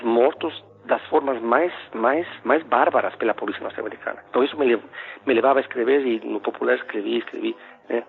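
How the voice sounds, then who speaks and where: A middle-aged man from Ecuador with a Spanish accent, a philosophy professor telling a story in a casual, halting way with many restarts and fillers. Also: medium pitch, vocal fry, husky. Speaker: philosophy professor, podcast guest. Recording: phone-like narrow sound.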